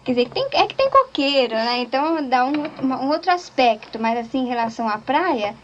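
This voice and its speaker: A woman's voice: high voice, sing-song, brisk, slight background noise. A woman talking casually in a group interview, telling stories with many restarts. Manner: chatty storyteller, frequent restarts. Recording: dull lo-fi tape recording.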